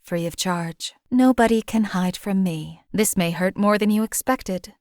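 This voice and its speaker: A woman's voice: medium pitch, unhurried, darkish tone, no echo, lightly acted. A woman playing a composed female assassin for hire in a video game, speaking in a dry, matter-of-fact way. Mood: cold, menacing. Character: cool, lethal female assassin companion for hire. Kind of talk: video game companion voice lines.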